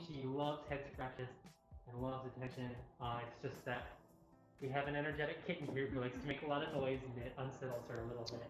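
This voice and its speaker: A woman co-hosting an unscripted cat cafe livestream, chatting in an informal, colloquial way. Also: very deep voice. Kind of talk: casual unscripted livestream chat. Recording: very echoey room with music playing. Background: music.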